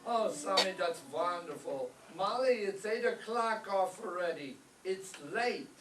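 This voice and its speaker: A man's voice: sits high, animated, a little slow. A man playing a proud, stubborn immigrant husband and businessman in a staged 1930s radio comedy. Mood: dismissive. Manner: self-important, immigrant phrasing. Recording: echoey distant stage recording, faint noise.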